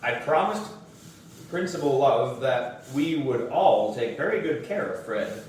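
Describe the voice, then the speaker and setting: A man's voice: medium pitch, expressive, unhurried, very echoey, recorded from a distance, a little hiss or hum, close to an everyday voice, poor audio. A man voicing a cheerful female schoolteacher in a storybook, with a sing-song, polite classroom manner. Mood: reassuring.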